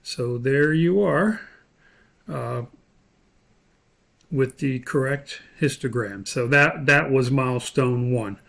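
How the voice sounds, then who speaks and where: A man's voice: medium pitch, takes it slowly, creaky, long pauses, a bit bright. Man giving an informal tutorial walkthrough. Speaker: course instructor. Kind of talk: screen-share tutorial walkthrough, unscripted explanation. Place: indoors, voice-over for screen recording.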